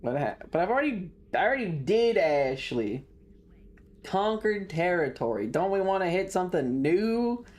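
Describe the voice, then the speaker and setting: A woman's voice: fairly low pitch, very animated, moderate pace, a little mellow. A woman streamer giving casual live game commentary, with teasing, joking asides.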